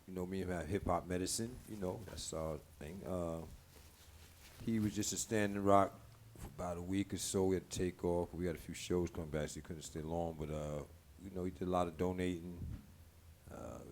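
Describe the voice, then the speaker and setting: A man's voice: low voice, darkish tone. A man speaking as a guest on a talk show, in casual, earnest, informal talk. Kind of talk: casual chat. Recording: clearly noisy live-stream room sound.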